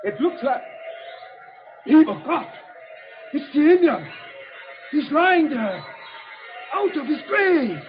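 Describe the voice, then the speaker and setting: An actor playing a frightened Nazi soldier in a 1940s radio drama, exclaiming in panic. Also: male voice, very animated, slow, long pauses, soft background music, heavily acted. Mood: terrified. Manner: high, frantic exclaiming. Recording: dull old radio recording.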